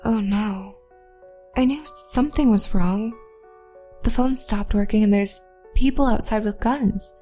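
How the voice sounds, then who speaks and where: Actress as a soft, slow-speaking worried woman. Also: mid-range voice, soft background music, natural voice. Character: nervous, compliant female hotel guest. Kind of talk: audio drama acting.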